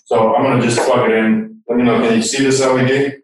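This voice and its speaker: A man giving an informal technical explanation as a product expert, halting as he searches for words. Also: mid-range voice, level tone, very noisy. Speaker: product expert guest demonstrating. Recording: echoey room, distant from mic.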